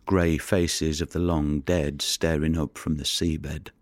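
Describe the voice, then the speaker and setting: An actor playing a weary, haunted fisherman in an audio drama monologue, husky, brooding and halting in a confiding way. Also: extremely low pitch, little pitch movement, dry recording. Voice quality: gravelly, husky. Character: weary, haunted male fisherman who recovers the drowned.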